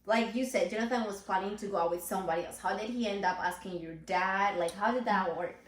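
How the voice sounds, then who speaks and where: A woman's voice: mid-range voice, fast talker. Woman asking questions a bit far from the mic, roomy echo. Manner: asks follow-up questions, short reactions. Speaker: podcast co-host. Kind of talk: casual chat among friends. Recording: echoey room, distant from mic.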